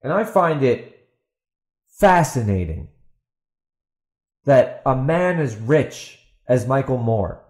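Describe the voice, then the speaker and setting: A man's voice: mid-range voice, a little mellow, echoey room. A man hosting a podcast, giving opinionated commentary in a sarcastic, emphatic way and repeating himself for stress. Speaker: podcast host and author.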